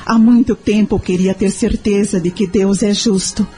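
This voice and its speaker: A woman's voice: medium pitch, fairly even intonation, moderate pace, mellow, breathy edge, natural voice. A woman playing a tender, earnest young mother in an audio drama, grateful and devoted.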